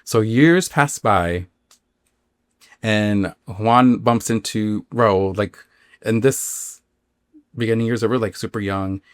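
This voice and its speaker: A man who hosts a podcast, telling a story casually in an informal, halting way full of filler words. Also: fairly low pitch, clean tone, no echo, clean studio sound. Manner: informal, halting, filler-heavy with acted-out quotes. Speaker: podcast host.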